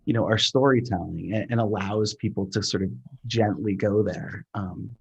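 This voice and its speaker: Halting, husky man thinking aloud. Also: on the low side, lo-fi sound. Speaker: theatre sound designer. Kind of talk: casual explanatory talk.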